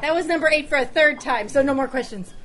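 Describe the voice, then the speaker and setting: A woman's voice: high voice, quick pace. A woman hosting a live quiz like a mock-strict, teasing schoolteacher, drawing out her announcements. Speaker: quiz host. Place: indoor restaurant luncheon gathering. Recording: music and crowd in restaurant. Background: music, laughter and crowd chatter.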